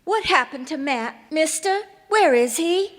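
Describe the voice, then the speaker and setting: An actress playing a worried frontier mother, slow and breathless with emotional exclamations, in live radio-drama style. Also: high-pitched, animated. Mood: anxious. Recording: echoey theater stage.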